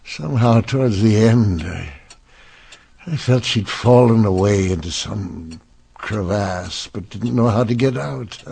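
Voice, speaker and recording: Weary grieving victorian councilman, low gravelly voice, slow and halting, radio drama. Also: dark tone, slight background noise. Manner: halting, formal. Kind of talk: old-time radio drama acting.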